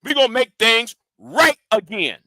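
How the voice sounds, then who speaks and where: High, forceful man ranting at his critics. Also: sing-song, measured pace, no room sound, studio quality. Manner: confrontational and profane, repeats and restarts words; challenging his audience directly. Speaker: YouTube commentator. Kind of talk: ranting commentary vlog.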